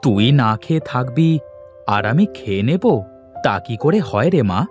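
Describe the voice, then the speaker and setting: A man's voice: medium pitch, very animated, normal speed, faint music behind, close to an everyday voice. A man playing a kindly, affectionate father and husband in a cartoon, speaking with concern. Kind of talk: Bengali cartoon voice acting.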